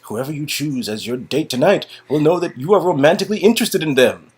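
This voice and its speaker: A man with a husky voice narrating a story game and improvising dry, halting, sarcastic asides. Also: fairly high pitch, a little mellow. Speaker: narrator and reader.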